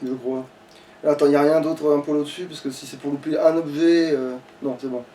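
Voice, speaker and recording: A man's voice: fairly high pitch, fairly even intonation, a little fast, mellow, slight background noise. A man playing a video game and commenting casually to a friend, sweary, grumbling and sarcastic. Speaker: let's player, playing the game. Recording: distant mic, game music behind.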